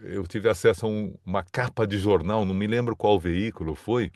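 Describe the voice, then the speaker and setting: A man from São Paulo with a fairly low voice, recorded dry and close. He explains his points thoughtfully, restarting words now and then and laughing lightly. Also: normal speed, darkish tone, dry recording. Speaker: guest, communication scholar. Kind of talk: interview answers.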